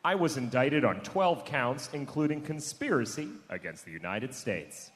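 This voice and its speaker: A man's voice: mid-range voice, lively intonation, moderate pace. A man, a comedian on a live panel, reading comic clues aloud. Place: live stage before an audience. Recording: echoey hall, crowd, faint noise.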